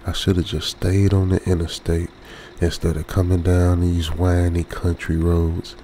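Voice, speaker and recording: A man playing a lonesome, uneasy young trucker, muttering colloquially with pauses in an ASMR radio drama. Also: deep voice, completely flat delivery, mellow, a little hiss or hum. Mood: weary grumbling. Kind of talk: ASMR old-school radio drama monologue.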